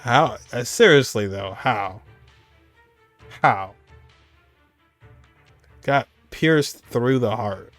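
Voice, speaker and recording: A man's voice: mid-range voice, lively intonation, drawn-out words, pauses often, fairly bright tone, dry recording, faint music behind. A man giving casual reaction commentary on game cutscenes, slangy and full of exclamations.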